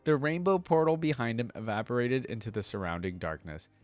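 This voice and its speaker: A man's voice: medium pitch, a little fast, dry recording, faint music behind. A man hosting a reaction video, reading a story aloud and adding casual commentary.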